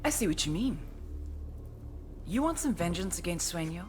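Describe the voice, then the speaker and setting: A covert agent with a slightly low voice. She is cool, tough and calculating, controlled and firm as she coaxes, in an action game drama with faint music behind. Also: sing-song, moderate pace. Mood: firm, coaxing.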